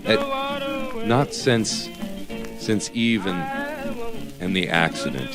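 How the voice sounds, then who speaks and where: A low-voiced, reclusive record collector. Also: male voice, huge pitch swings, drawn-out words, full character voice. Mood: defensive, troubled. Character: reclusive, defensive male record collector and music writer. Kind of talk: audio drama acting. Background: music.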